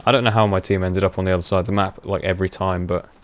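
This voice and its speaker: A young man with a fairly low voice talks over his gameplay in a loose, rambling way. He pauses on fillers and laughs often, and his voice is wearing hoarse. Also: steady tone. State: voice going hoarse. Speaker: gaming commentator. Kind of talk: casual gameplay commentary.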